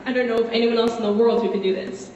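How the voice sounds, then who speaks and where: Woman acting as teenage boy, wry, wistful, dramatic monologue, highish voice, confiding, heavily reverberant hall, muffled sound. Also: fairly even intonation, dark tone, natural voice. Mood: wry, chatty. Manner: confiding, conversational, dramatic pauses. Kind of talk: solo dramatic monologue, direct address. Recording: echoey hall, distant, muffled, faint noise.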